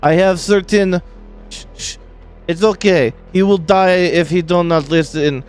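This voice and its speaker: A pushy, menacing Russian man barks in broken, theatrical English. A man puts him on with a thick fake accent, a voice on the high side and smooth. Faint music runs underneath. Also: fairly even intonation, moderate pace, a little mellow, close to an everyday voice. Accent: put-on Russian.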